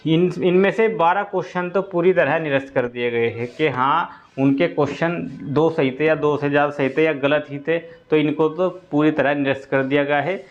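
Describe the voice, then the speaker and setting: A man's voice: on the high side, normal speed, hardly any pauses. A man gives an informal explanatory update to students, going step by step and repeating key points. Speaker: exam-update presenter for students. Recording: dull lo-fi audio.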